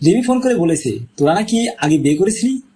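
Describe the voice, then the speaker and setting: A man's voice: high-pitched, expressive, fairly quick, warm tone, slightly rough. A man acting practical, matter-of-fact male characters in an audio story. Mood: casual. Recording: faint background noise.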